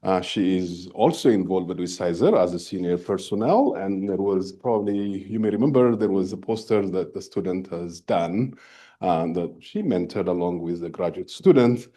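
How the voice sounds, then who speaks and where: A man's voice: sits low, expressive, dark tone. A man, a workshop organizer, introduces the next speaker in a lecture room, hesitating often with fillers and restarts. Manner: halting, with many fillers and restarts.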